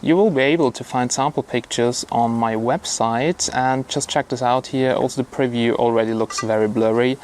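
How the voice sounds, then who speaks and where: A man with a medium-pitched voice reviews a gadget in a casual, unscripted way. He is blunt and informal, often pausing and repeating words as he works through his commentary. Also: steady tone, darkish tone, slight background noise.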